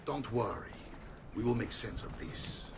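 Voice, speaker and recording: An actor playing a jovial, blustering middle-aged Italian uncle in a video game, with an Italian accent and a hearty, theatrical manner. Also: male voice, mid-range voice, measured pace, a little hiss or hum, lightly acted. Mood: sombre. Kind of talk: video game cutscene acting.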